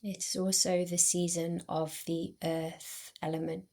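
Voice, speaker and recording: A woman guiding a yoga nidra relaxation in a soothing, unhurried way, with long pauses between short phrases. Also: fairly low pitch, little pitch movement, vocal fry, warm tone. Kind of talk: guided meditation.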